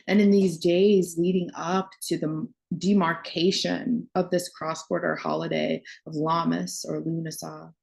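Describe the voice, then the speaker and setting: Slow, low-voiced woman guiding meditation. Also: level tone, gravelly, a little mellow. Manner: soothing, unhurried phrasing with long pauses. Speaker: meditation guide and astrologer.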